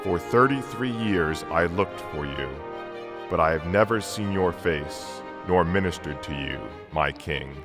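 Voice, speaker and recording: A man's voice: sits low, expressive, unhurried. A man playing a humble, devout, dying old wise man in a dramatic audio story, with solemn, reflective delivery. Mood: humble, questioning. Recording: music behind the voice.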